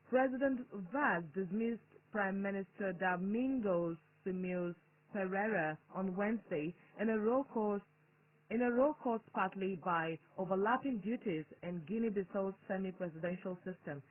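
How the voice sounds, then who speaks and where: A newsreader with a Nigerian accent reads scripted news in a formal, measured way over a shortwave radio broadcast. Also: medium pitch. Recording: muffled narrow-band digital shortwave radio broadcast.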